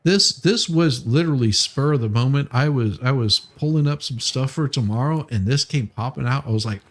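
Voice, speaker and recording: A man's voice: mid-range voice, moderate pace. A man hosting a livestream in casual chat, blunt and a little profane in how he talks. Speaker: livestream host.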